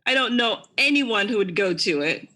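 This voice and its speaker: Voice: medium pitch, expressive, moderate pace, bright, forceful tone, slight background noise. An amateur actor playing a flippant, callous townsman gossiping in a church radio play. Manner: flippant, mocking.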